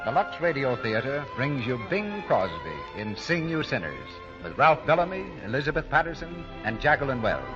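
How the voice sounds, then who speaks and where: A man's voice: mid-range voice, very animated, moderate pace. A man announcing a 1940s radio show in a grand old style. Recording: muffled vintage 1940 radio broadcast. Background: loud music.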